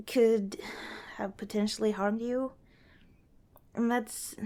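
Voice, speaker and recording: A woman playing a kind, cautious young scavenger in an ASMR roleplay, speaking softly and hesitantly, as if chatting to the listener. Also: a little high. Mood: concerned, explaining. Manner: soft, hesitant, conversational, with sighs and small laughs.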